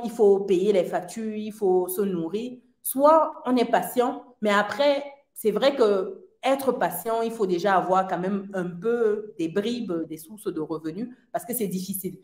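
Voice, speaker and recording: A woman giving an earnest personal testimony in casual talk, often laughing lightly between phrases and filling pauses. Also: mid-range voice, a little mellow. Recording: roomy echo.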